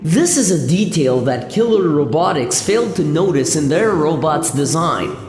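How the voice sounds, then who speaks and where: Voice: medium pitch, lively intonation, moderate pace, darkish tone. Echoey narrator of a radio serial. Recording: echoey long reverb. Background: music.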